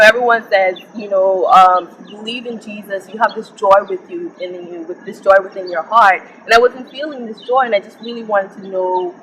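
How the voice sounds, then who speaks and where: A young woman speaks earnestly and reflectively, often stopping to gather her thoughts. Her voice is bright and forward. A faint hiss sits under the recording. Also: mid-range voice, poor audio. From Bahamas. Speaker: interview guest. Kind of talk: personal testimony in conversation.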